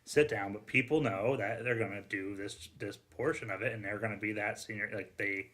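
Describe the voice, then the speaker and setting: Male podcast co-host, lowish voice, casual conversational storyteller, informal and self-interrupting. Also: steady tone, a little fast, a bit bright.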